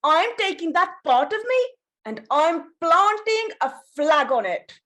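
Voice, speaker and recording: A woman's voice: very high-pitched, sing-song, measured pace, a bit bright, heavily acted. A woman playing a theatrical, whimsical and defensive mother in her fifties at a screenplay table read. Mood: breezy, insistent.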